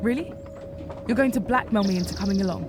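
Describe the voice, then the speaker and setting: A woman's voice: mid-range voice, quick pace, noisy background, lightly acted. A woman playing an earnest, conflicted young rabbit healer's apprentice in a fantasy video game. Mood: urgent, accusing. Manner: earnest, troubled, guarded. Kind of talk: dramatic video game dialogue.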